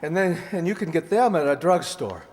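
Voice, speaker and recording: A man playing a grumbling, sarcastic but affectionate husband in a light radio mystery, wry, halting and exasperated. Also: a little high, animated, darkish tone, lightly acted. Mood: weary, sarcastic. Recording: reverberant hall stage recording.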